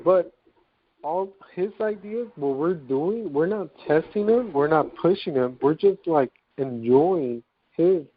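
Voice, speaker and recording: Man, phone-line sound, slightly high pitch, casual slangy enthusiastic chat, call participant. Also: expressive, slow, a little hiss or hum. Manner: slangy, informal. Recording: tinny narrow phone line.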